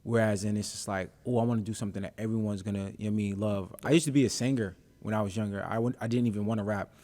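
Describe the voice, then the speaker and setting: A young man chats casually as an interview guest, his voice sitting a little low. He talks in a relaxed, unhurried way, filling pauses with ums and uhs as he gathers his thoughts. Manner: laid-back, easygoing, many fillers like um and uh.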